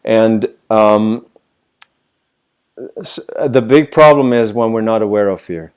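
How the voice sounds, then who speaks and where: Man, mellow low voice. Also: takes it slowly, clean tone. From Sweden. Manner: thoughtful, many rhetorical questions. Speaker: voice coach. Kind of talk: reflective interview answers. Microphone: video call.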